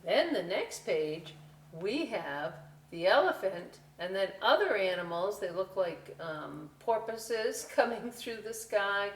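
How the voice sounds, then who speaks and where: A woman presenting a children's story time, reading aloud with chatty, animated, folksy asides straight to young viewers. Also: mid-range voice, slow. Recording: roomy, distant mic with faint hiss.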